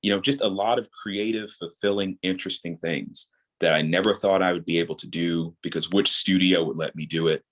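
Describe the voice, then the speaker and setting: A man speaking as a guest in a casual interview, earnest and reflective, building his thoughts in halting phrases. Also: low-pitched, fairly even intonation. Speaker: podcast guest, audio drama creator.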